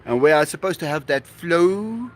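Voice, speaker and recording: A man's voice: on the high side, expressive, moderate pace, background noise. A man from South Africa with an Afrikaans accent, chatting casually on his live stream, laughing often and playing with his dogs. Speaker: livestreamer.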